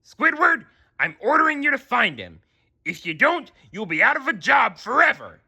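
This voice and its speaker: A man's voice: very high-pitched, sing-song, moderate pace, vocal fry, pushed and bright, slightly rough, voice pushed up, exaggerated voice. A man voicing a greedy, bossy male cartoon crab who barks his orders, in a storybook read-aloud. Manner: barking, commanding.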